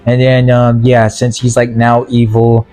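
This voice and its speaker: A man giving a casual, rambling film review in slangy, informal language, halting and repeating words, with sarcastic asides. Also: mid-range voice, monotone, mellow, soft background music. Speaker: movie reviewer.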